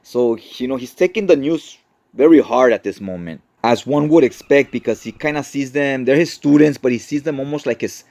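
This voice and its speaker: A man's voice: medium pitch, fairly quick, creaky, darkish tone. Casual man explaining a comic story. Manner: conversational, explanatory, often adds tag questions. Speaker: comic book explainer, host.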